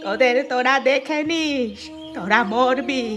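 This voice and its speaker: A woman playing a lamenting, scolding old village grandmother in a cartoon, drawing out her words. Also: sits high. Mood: wailing, cursing. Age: older. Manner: lamenting, drawn-out wailing and scolding. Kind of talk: Bengali moral-story cartoon voice acting.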